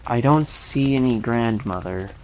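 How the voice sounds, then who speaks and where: A woman voicing a gruff, sulky young boy who speaks bluntly and indignantly. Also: a bass voice, very animated, moderate pace, a little mellow, a little hiss or hum, pitched below the performer's own voice, rough recording. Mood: offended.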